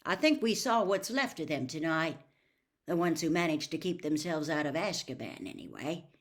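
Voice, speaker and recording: A woman voicing a brisk, matter-of-fact young man in a storybook reading. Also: low voice, big pitch swings.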